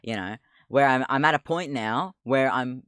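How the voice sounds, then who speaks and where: A man in his twenties with a deep voice, chatting casually on a livestream, rambling, laughing often, swearing and getting sidetracked. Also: normal speed, fairly bright tone, clear, resonant tone, no room sound, studio quality. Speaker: streamer.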